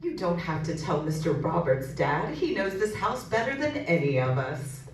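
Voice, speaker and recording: A woman's voice: fairly low pitch, big pitch swings, moderate pace. Wry, prim young daughter played by a woman, curious, old-style radio horror, very echoey and distant. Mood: wry, exasperated. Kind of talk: radio horror drama acting. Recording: very echoey, distant, faint noise.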